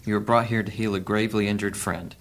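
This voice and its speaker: An actor playing a calm, commanding leader of thieves in a fantasy audio drama, measured and authoritative. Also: male voice, lowish voice, creaky.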